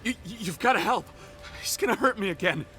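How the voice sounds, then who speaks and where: A small, frightened underdog in a fantasy video game. His pleading voice is extremely high and excitable, swinging up and down, with music clearly behind it. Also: a little fast.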